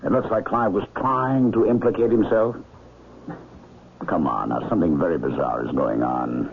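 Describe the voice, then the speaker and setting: An actor playing a wry, loyal doctor in a 1970s radio drama, speaking conversationally. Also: male voice, low-pitched, moderate pace, gravelly. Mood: puzzled. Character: wry, loyal male doctor shielding an accused friend. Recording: muffled vintage radio recording with hiss.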